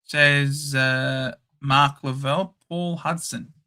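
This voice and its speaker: A man's voice: medium pitch, steady tone, takes it slowly, pushed and bright, clear, resonant tone. An Australian man hosting a casual livestream, chatting, thinking aloud and reading out viewers' names. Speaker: livestream host.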